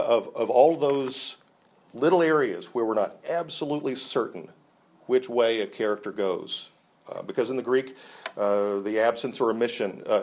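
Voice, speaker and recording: A man's voice: medium pitch, a little hiss or hum. An enthusiastic man teaching a Bible class, talking conversationally with frequent restarts and fillers.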